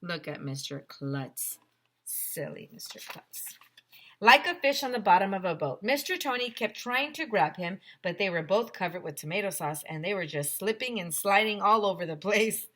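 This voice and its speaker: A woman narrates a children's story in the style of a teacher reading aloud. Her bright, forceful voice sits at an average pitch, expressive and emphatic. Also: moderate pace.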